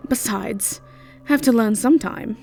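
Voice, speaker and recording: A woman's voice: a little high, measured pace, creaky, a little mellow, soft background music, voice pushed up. A woman voicing a brash, teasing, foul-mouthed young woman in an audio drama, with a slangy, mocking delivery. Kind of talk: audio drama character acting.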